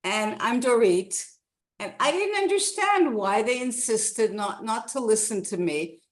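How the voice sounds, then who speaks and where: A woman's voice: on the high side, lively intonation, a little slow. A woman in her sixties from Canada, a guest telling her life story in a rambling, casual chat with many restarts.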